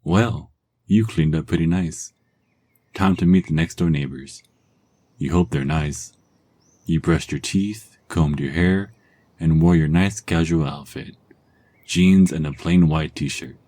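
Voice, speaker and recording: A man narrating a second-person ASMR story in a calm, soft-spoken, husky voice. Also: very deep voice, takes it slowly, long pauses, no echo.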